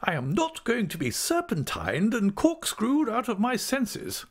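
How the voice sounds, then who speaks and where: A man's voice: fairly high pitch, sing-song, normal speed, vocal fry, breathy edge, pitched above the performer's own voice, full character voice. A man voicing a stern older aunt in an audiobook, blunt, curt and scolding. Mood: stern indignation. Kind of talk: audiobook character acting.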